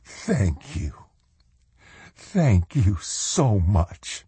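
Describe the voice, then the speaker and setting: Excitable, husky rustic old man. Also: on the low side, dry recording, full character voice. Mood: tearful gratitude. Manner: folksy, exclamatory, drawn-out.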